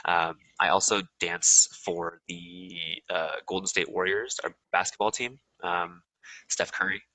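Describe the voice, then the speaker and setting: Low, bright-voiced young American man. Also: a little slow, no room sound, poor audio. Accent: Californian American. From USA. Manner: relaxed, rambling, many ums and restarts. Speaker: guest. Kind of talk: casual interview chat.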